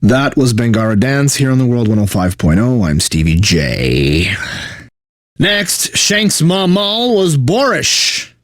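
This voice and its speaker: Exasperated, sardonic radio DJ, swooping pitch. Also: male voice, wildly swooping pitch, slow, no echo, studio quality, full character voice. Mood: sardonic, fed up. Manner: sardonic, theatrically despairing, swinging from smooth patter to outbursts. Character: bitter, exasperated male radio DJ protesting his corporate bosses. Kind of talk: radio station announcing with sardonic asides.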